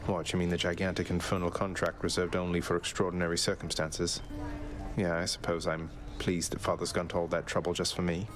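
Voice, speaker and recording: A man's voice: low voice, little pitch movement, a little fast, natural voice. A man playing a defiant, sardonic young underworld prince in a video game, with a dry, casual delivery. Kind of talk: video game character acting.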